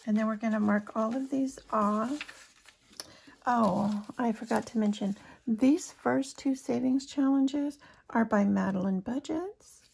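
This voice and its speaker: A hoarse woman chatting casually to her viewers as a budgeting vlogger, counting cash aloud as she works. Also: fairly high pitch, measured pace, a little mellow, a little hiss or hum. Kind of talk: casual chat while cash stuffing.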